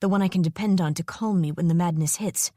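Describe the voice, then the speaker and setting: A woman voicing a male dragon speaking slowly and formally to his mate, in a dry, close recording. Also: fairly low pitch, mellow, dry recording, lightly acted. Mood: tender. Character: male dragon, possessive mate, calm and solemn.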